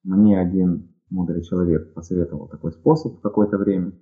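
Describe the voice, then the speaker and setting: Deep-voiced man on a tinny line. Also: fairly even intonation. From Ukraine. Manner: measured, pausing with fillers. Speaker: radio guest answering listener questions. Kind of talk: live radio call-in answers. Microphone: headphones with headset audio. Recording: phone-like narrow audio.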